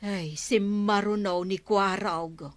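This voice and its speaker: A woman's voice: mid-range voice, fairly even intonation, takes it slowly, natural voice. A woman playing a forgetful, flustered village storekeeper in an Ilocano radio comedy drama, hesitant and drawn out, with a Filipino Ilocano accent. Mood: recalling. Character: forgetful, flustered female village storekeeper who miscounts change.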